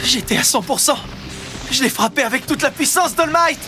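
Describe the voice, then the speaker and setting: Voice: sits high, animated, normal speed, over music. A performer playing an earnest, anxious but determined teenage boy hero in a French anime dub. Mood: worried. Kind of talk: French-dubbed anime acting.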